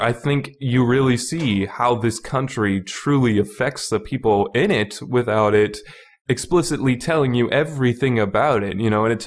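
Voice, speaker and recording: A man hosting a review podcast in a casual, opinionated style, rambling emphatically and repeating his words as he argues his points. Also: mid-range voice. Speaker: podcast host reviewing a visual novel.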